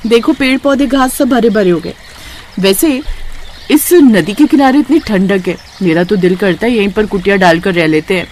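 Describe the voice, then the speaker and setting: A woman's voice: mid-range voice, normal speed, darkish tone, a little hiss or hum, close to an everyday voice. A woman voicing an eager, practical young village daughter-in-law who exclaims in wide-eyed wonder in a cartoon story. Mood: admiring. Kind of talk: cartoon moral story dialogue.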